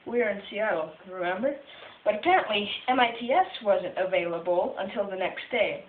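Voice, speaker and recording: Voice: mid-range voice, a little fast, close to an everyday voice. A performer with a female-sounding voice plays a boastful male tech entrepreneur puppet, presenting a scripted life story to an audience in a self-satisfied, jokey way. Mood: explanatory. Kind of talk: scripted first-person puppet-show monologue. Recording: tinny phone video, roomy, distant, hissy.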